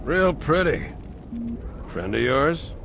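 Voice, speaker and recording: A brusque military captain for a sci-fi game, in a slightly high, animated voice. He is curt and used to command, with faint noise behind him. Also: takes it slowly, gravelly, exaggerated voice. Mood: dry, curt. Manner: curt, authoritative.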